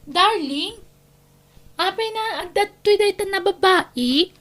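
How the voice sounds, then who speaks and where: A woman's voice: very high voice, expressive, slow, fairly bright tone, heavily acted. A woman playing a brazen, possessive young mistress who taunts her rival in a radio drama. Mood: sugary, scheming.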